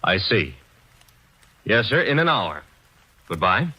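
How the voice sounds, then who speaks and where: A breezy, joking assistant detective, with a bright voice that swoops up and down. His teasing is quick and cheeky. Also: fairly low pitch, very animated, breathy edge, heavily acted, poor audio. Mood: wry. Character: wisecracking young male assistant detective. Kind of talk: 1940s radio acting.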